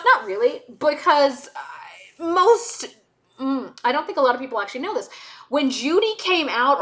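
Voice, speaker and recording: Chatty woman, animated delivery. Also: high-pitched. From Southern United States. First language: Hebrew. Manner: rambling, chatty, lots of restarts and asides. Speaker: livestream host. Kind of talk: casual livestream chat.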